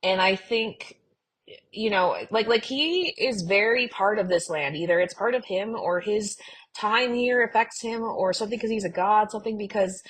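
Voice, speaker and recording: A woman's voice: mid-range voice, normal speed, slight background noise. A woman co-hosting a casual fiction podcast discussion, speaking in an earnest, informal and emphatic way. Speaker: podcast co-host.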